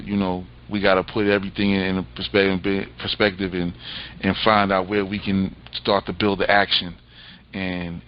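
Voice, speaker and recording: Earnest co-host, man, low flat voice, halting, faint hiss on the line. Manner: halting, many fillers and restarts, earnest. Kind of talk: casual interview chat. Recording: dull lo-fi sound with faint noise.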